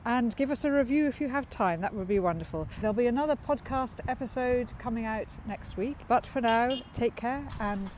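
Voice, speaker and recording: A woman's voice: fairly high pitch, a little fast, few pauses, a little mellow, rough recording. A woman hosting a podcast, asking friendly, chatty questions while walking outdoors in a windy city park. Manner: friendly, chatty questioning, stumbles over words. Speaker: podcast host. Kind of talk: casual walking interview. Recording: outdoor street noise and wind.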